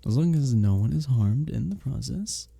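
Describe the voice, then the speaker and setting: Man playing a dark-voiced, breathy, flirtatious medieval innkeeper. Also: medium pitch, animated, normal speed, no echo. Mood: playful, apologetic. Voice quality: breathy, soft. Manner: flirtatious, courtly, soft chuckles between phrases. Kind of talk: intimate ASMR roleplay with flowery old-fashioned speech.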